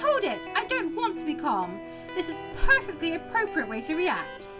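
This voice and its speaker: A woman's voice: extremely high pitch, huge pitch swings, moderate pace, over music, exaggerated voice. A woman voicing an anxious, argumentative teenage student in a visual novel, stammering and exclaiming. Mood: panicky, nervous.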